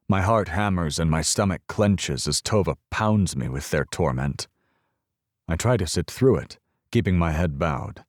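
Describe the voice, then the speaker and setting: Low-voiced male audiobook narrator. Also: no room sound, clean studio sound. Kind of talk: first-person audiobook narration.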